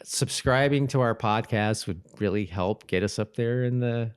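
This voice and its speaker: A man co-hosting a podcast in casual chat, speaking haltingly and often repeating and rephrasing himself. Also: mid-range voice, smooth. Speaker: podcast co-host. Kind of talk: casual chat about a TV episode.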